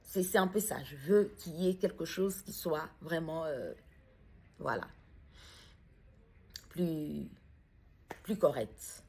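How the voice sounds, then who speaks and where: A grieving mother speaking out in a personal video, hesitant and halting as she searches for words, then pressing earnest questions. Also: sits low, darkish tone, husky.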